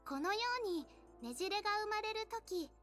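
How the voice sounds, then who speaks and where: An actress playing a poised, childlike goddess in an anime-style game, with polite, haughty, refined speech. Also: female voice, extremely high pitch, animated, fast talker. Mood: composed, formal. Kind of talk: Japanese anime-style visual novel acting. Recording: loud game music under the voice.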